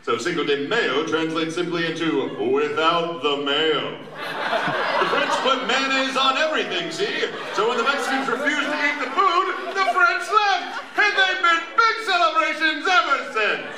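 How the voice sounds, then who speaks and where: Radio-play hero sleuth, male actor: cheery, confident, lively swooping delivery, distant mic, crowd in hall. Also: very high voice, measured pace. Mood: cheery explaining. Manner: corny old-time slang, confident, earnest. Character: cheery, cocksure amateur sleuth leading his sidekicks. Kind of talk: comic old-time radio play performed live. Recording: echoey convention hall, distant mic, audience noise.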